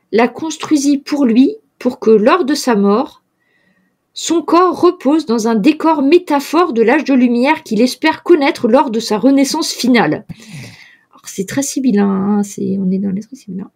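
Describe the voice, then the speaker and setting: A woman's voice: high-pitched, darkish tone, slightly rough. A woman running a tabletop role-playing game as game master, often hesitating with fillers and restarts.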